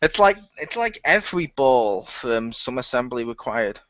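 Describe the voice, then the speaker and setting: Joking man with a lively voice. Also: medium pitch, a little slow, dry recording. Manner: joking, teasing, laughs a lot. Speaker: cast member of an audio drama. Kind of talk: casual chat. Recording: dull lo-fi, dry sound.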